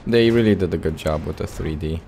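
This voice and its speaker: A man's voice: deep voice, fairly quick, creaky, warm tone, slight background noise. A man giving casual, laid-back gameplay commentary in short phrases as he plays. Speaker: let's play host.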